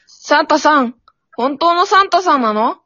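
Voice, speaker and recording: A woman acts out a small, innocent boy in a comedy radio drama. The voice is high, bright and eager. It is recorded dry and slightly dull. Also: animated, moderate pace, no echo. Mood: excited. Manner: eager, childlike. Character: small, innocent, wide-eyed excited son.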